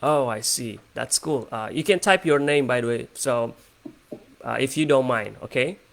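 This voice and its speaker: A man's voice: medium pitch, normal speed, vocal fry. A man with an Indonesian accent, hosting a casual English conversation practice on a video call, hesitant and full of fillers. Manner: hesitant, many fillers and restarts.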